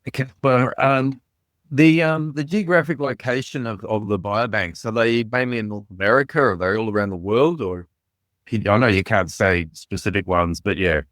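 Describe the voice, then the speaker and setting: A man's voice: lowish voice, moderate pace, fairly bright tone, no room sound, clean studio sound. A man from Australia asking a question casually with slight hesitation. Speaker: audience member.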